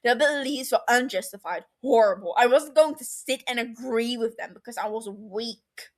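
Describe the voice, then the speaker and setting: A woman voicing a defiant, exasperated runaway elder brother in an audio story, forceful, indignant and defensive. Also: on the high side, normal speed, natural voice.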